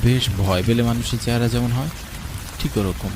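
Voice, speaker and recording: A man's voice: medium pitch, steady tone, normal speed. A man reading a scripted first-person story as its narrator. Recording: clearly noisy, rain and thunder behind. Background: rain and thunder sound effects.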